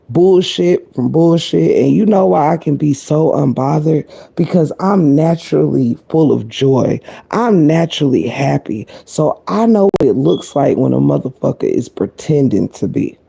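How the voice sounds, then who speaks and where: Woman live-streamer, deep and croaky, very dark tone, blunt sassy commentary delivered quietly. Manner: sassy and blunt, heavy cursing; talking low to keep quiet.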